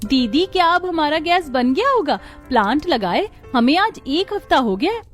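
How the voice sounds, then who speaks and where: A woman's voice: high-pitched, big pitch swings, moderate pace, faint music behind. A woman voicing a curious, doubting younger daughter-in-law in a village cartoon, with a questioning, fretful manner. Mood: eager, worried. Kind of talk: scripted cartoon dialogue.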